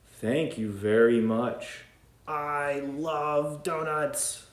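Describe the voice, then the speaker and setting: A man's voice: a little high, extremely slow, voice pushed up. A man voicing a small, timid and polite animal, hesitant and sweet, drawing out his words. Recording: echoey room, faint ambience.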